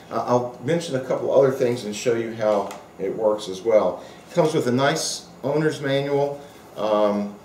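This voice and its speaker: A man with a fairly low voice talks through a product demonstration in an informal, unscripted way. His delivery is halting and wanders into afterthoughts. The room is noticeably echoey, with faint noise behind him. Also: a little mellow. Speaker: product reviewer and radio hobbyist.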